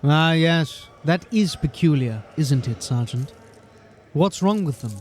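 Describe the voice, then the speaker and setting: A man voices a polished watch captain who talks in crisp, formal phrases. His voice sits a little high for a man. It is an audio drama performance. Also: animated, unhurried, a little mellow. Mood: composed, formal.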